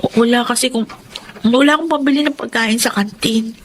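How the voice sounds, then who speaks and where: A woman's voice: fairly high pitch, brisk, slight background noise, close to an everyday voice. A woman playing a hardworking, devout young college student in a Filipino radio drama, speaking earnestly and politely with a Filipino accent. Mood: embarrassed.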